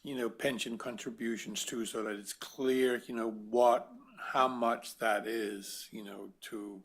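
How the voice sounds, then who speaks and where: Male board member, halting delivery, frequent "you know" fillers. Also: medium pitch, fairly even intonation, a bit bright. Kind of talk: meeting discussion.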